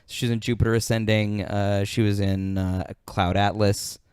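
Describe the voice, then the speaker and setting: A man's voice: lowish voice, little pitch movement, moderate pace, darkish tone, smooth, no room sound, clean studio sound. A man hosting a podcast in casual, informal panel chat, swearing freely. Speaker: podcast host.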